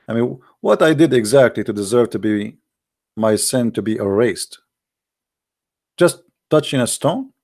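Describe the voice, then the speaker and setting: A man's voice: mid-range voice, creaky, darkish tone. A man giving an argumentative, sarcastic monologue, full of rhetorical questions, speaking English with non-native grammar. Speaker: religious debater. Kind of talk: polemical monologue.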